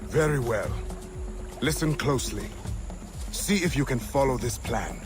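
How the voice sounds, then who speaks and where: An actor voicing a stern, paranoid, embittered mentor of assassins in a video game, curt and scolding. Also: male voice, mid-range voice, lively intonation, a little slow, music in the background, lightly acted. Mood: scheming, impatient. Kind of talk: dramatic video game voice acting.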